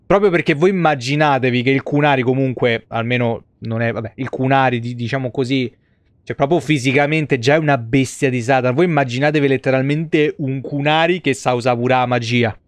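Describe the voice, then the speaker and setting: A man's voice: mid-range voice, fairly quick, no echo. An Italian man in his thirties giving casual, profane live game commentary and chatting to viewers. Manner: informal, heavily profane. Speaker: game streamer. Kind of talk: casual live gameplay commentary with asides to chat.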